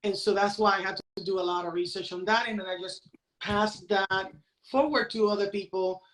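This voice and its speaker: A woman's voice: mid-range voice, steady tone, normal speed, fairly bright tone, rough recording. Woman in her forties, chatting. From San Juan, Puerto Rico. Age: late 40s. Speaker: podcast guest, crypto educator. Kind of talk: casual conversational interview.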